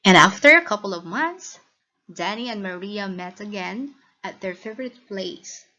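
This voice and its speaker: A woman with a Filipino accent narrating a scripted lesson as a teacher, in a deliberate, instructional manner. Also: mid-range voice, animated, pushed and bright. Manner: deliberate, instructional, checks with listeners. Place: recorded voice-over for slide lesson. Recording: dull, lo-fi voice recording.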